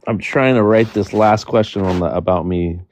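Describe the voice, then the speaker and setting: A man's voice: fairly low pitch, normal speed, darkish tone, a little hiss or hum. A man hosting a casual chat, muttering to himself while he sets up, then laughing and joking with a guest. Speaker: host.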